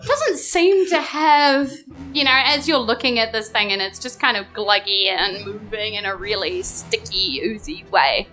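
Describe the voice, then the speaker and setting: A woman's voice: on the high side, lively intonation, measured pace. A woman running a live tabletop game as game master, narrating in a casual, playful way and often rephrasing as she improvises. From New Zealand. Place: live on stage at a club. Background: music under the voice.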